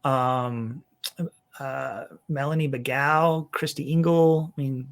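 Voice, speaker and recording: A man's voice: medium pitch, steady tone, slow. A middle-aged man chatting as a guest on a podcast, in a plain mid-range voice. He rambles through lists with lots of "um" and "you know," and laughs easily. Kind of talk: casual interview conversation.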